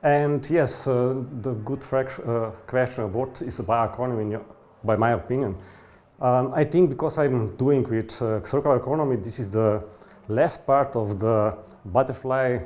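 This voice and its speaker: A man's voice: mid-range voice, normal speed, a little mellow. A man from Croatia giving an interview answer, searching for words and using frequent fillers.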